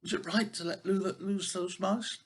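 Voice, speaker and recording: An older man voicing a poor old tailor in a storybook, anxious and mournful, muttering and lamenting in drawn-out words. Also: sits high, fairly even intonation, slightly rough, voice pushed up. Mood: fretful lamenting.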